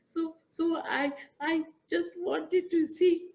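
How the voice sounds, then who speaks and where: Woman doing a squeaky stuttering little mouse. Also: steady tone, slow, a little mellow, muffled recording, exaggerated voice. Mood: frightened pleading. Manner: stammering, pleading, tearful. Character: tiny frightened stuttering male mouse.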